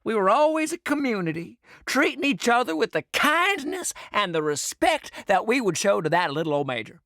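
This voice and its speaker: Bright, animated folksy Western sheriff. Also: mid-range voice, moderate pace, no echo, clean studio sound. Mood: gossipy, earnest. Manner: effusive, gossipy, theatrical storytelling. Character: folksy, chatty, overly friendly small-town Western sheriff. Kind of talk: audio drama character acting.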